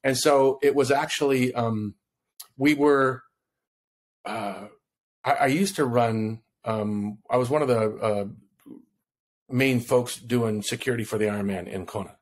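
A man from Hawaii in his fifties, a podcast guest, telling a personal story in a relaxed, rambling way and acting out quotes, on a podcast mic at home. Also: medium pitch, a little slow. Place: home room on video call. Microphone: podcast mic in front of him.

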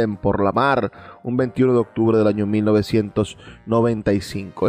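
A man's voice: lowish voice, warm tone. Venezuelan man hosting radio over music. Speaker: radio programme host. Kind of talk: radio presenting, introducing music. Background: background music.

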